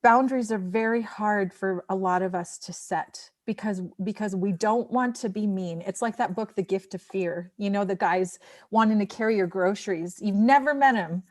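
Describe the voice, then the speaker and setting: A woman's voice: mid-range voice, moderate pace, darkish tone, no room sound, clean studio sound. A woman talking reflectively in a discussion, often restarting and repeating phrases as she works out her thoughts. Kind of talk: reflective discussion in a panel conversation.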